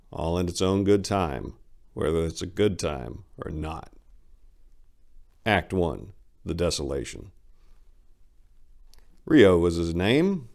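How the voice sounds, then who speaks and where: A man with a low voice presents as host and narrator. His delivery is that of a dramatic story reading, scripted and performed.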